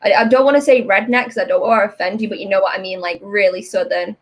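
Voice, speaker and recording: A British woman hosting a casual chat while inking comics, lively, frank and chatty. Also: mid-range voice, fast. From Britain. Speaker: host, comic artist.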